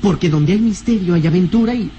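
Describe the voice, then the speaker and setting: Eager, high-voiced boy sidekick. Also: fairly quick, warm tone, lo-fi sound, a little hiss or hum. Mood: eager, admiring. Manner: enthusiastic, giggly. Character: eager adventurous boy, the hero's devoted young companion. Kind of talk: old radio serial acting.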